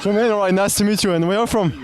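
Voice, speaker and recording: Fast, high-voiced French man interviewing. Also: noisy background. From France. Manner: upbeat, quick, keeps saying "awesome" and "wow". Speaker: street interviewer, channel host. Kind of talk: casual street interview. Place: outdoors on a city street.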